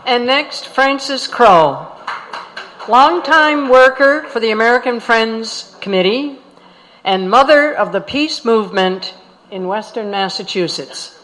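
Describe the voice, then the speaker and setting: In a reverberant hall with clear background noise, a woman makes a formal introduction from the stage. Her delivery is slow and measured, as an event host. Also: fairly high pitch, lively intonation. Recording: echoey hall, noisy live event recording.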